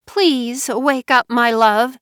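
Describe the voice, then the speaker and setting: A high, wheedling young wife who whimpers and sobs her pleas, put on by a woman reading aloud. She draws her words out slowly in a breathy little-girl whine. The recording is close and dry. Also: expressive, a bit bright, no echo, full character voice. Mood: tearful pleading. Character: manipulative young woman, whiny and tearful.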